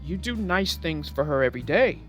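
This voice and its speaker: A woman reading aloud as a calm, practical father turtle offering gentle suggestions in a storybook. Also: low-pitched, fairly quick, soft background music, voice pushed down. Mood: kindly.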